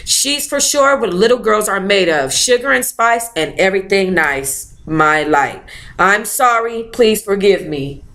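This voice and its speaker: A woman hosting a book club, reading a memoir aloud and chatting in a sassy, informal, expressive way. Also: mid-range voice, unhurried. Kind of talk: audiobook-style reading with casual, chatty asides.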